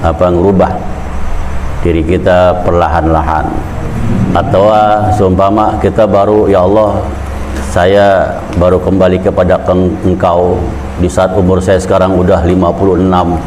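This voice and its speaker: A man's voice: little pitch movement, a little slow, noisy background. A man giving an informal Islamic sermon in a conversational storytelling way, his voice climbing when he urges the listeners. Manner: conversational storytelling preaching, pitch rising when urging. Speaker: preacher.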